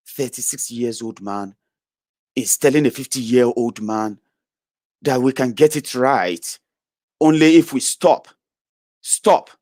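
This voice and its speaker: Man, 30s, blunt profane rant, loud then muttering, dry close sound. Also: fairly high pitch, no echo. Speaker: online political commentator. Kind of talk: ranting monologue to followers.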